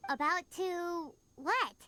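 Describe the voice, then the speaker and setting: A woman voicing a tiny, perky, childlike fairy companion in a video game, squeaky and cutesy. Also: slow pace, sharp tone, a little hiss or hum, exaggerated voice. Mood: incredulous. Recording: game music behind.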